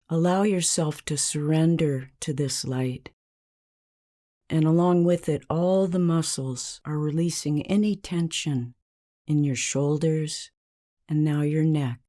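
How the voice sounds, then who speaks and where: A woman narrating a scripted guided meditation in a calm, measured, soothing manner with long pauses. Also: deep voice.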